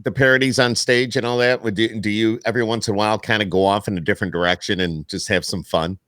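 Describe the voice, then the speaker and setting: A man's voice: fairly low pitch, steady tone, fast talker, fairly bright tone, dry recording, studio quality. An American man chatting casually as a podcast host, informal and enthusiastic. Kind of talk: casual interview chat.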